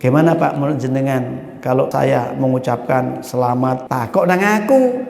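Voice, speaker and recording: A man with a Javanese accent preaching an Islamic study sermon, explaining Arabic verses phrase by phrase in a lively, storytelling way. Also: mid-range voice, normal speed, creaky. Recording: echoey hall recording.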